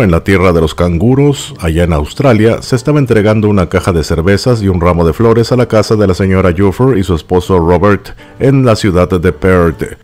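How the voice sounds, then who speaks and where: A man narrating tabloid news, with playful asides and sudden jumps up in pitch. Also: darkish tone. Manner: jumps up in pitch on aside phrases, uses cute diminutives.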